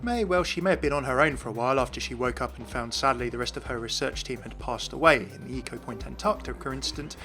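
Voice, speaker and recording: Bright-voiced man presenting. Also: mid-range voice, quick pace, hardly any pauses, faint music behind. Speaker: host of a game lore video series. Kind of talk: explanatory voice-over commentary.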